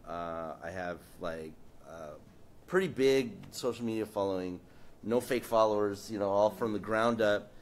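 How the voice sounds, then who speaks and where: A man's voice: low voice, slight background noise. A man speaking on a live panel, rambling with many fillers and restarts and joking often. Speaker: panelist, political cartoonist. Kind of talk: casual panel talk. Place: event space with audience.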